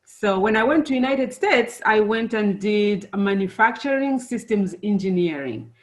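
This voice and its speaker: A middle-aged woman born and raised in Zambia, giving a friendly, unhurried presentation to students, with many fillers. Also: mid-range voice, lively intonation. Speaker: engineer giving a talk to students.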